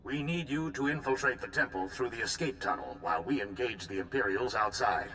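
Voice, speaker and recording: A man playing a bright-voiced military commander. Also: medium pitch, fairly quick, slight background noise, close to an everyday voice. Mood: commanding. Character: male military supreme commander, decisive and blunt. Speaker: supreme commander. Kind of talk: game voice acting.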